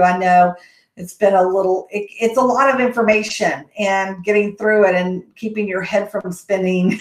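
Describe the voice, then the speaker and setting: A woman with a Texas accent hosting a casual question-and-answer session on college admissions, rambling with frequent ums and restarts. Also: mid-range voice. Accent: Texan. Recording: echoey room.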